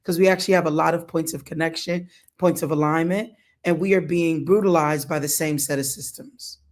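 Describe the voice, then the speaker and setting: Impassioned organizer, emphatic delivery, quiet phrase endings, panel talk. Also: mid-range voice, steady tone, fairly quick. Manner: emphatic, preacherly, drops to quiet at phrase ends.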